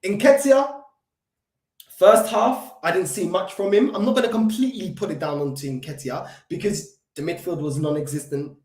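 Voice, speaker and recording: A man's voice: sits high, moderate pace, darkish tone. A man with a London accent hosts a solo football fan reaction. He is recovering from an illness, so his voice is not at full strength, and he talks emphatically, with fillers and repeated restarts. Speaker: football fan channel host. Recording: echoey room.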